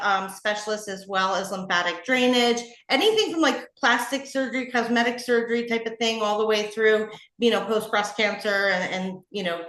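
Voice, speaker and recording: A woman's voice: mid-range voice, bright, forceful tone. A woman hosting a podcast interview in a conversational, effusive and affirming way. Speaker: podcast host. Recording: roomy, echoing sound.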